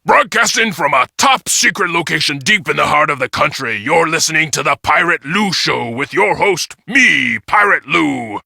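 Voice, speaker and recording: Gravelly, blaring pirate radio DJ. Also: male voice, on the high side, lively intonation, normal speed, hardly any pauses, sharp tone, no echo, studio quality, exaggerated voice. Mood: bombastic. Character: bombastic male pirate radio DJ, showy. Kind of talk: radio show announcing.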